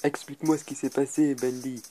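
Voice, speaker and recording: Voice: medium pitch, level tone, fairly quick, mellow, a little hiss or hum, natural voice. An amateur dub performer playing a worried cartoon wolf who questions a friend reproachfully. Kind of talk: amateur French comic dub.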